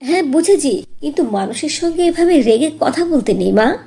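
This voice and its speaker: A woman's voice: sits high, lively intonation, normal speed, gravelly, mellow. A woman voicing a patient, playful young mother in a cartoon, with a coaxing way of talking. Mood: firm. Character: patient, playful young village mother telling bedtime stories. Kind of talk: Bengali cartoon dubbing.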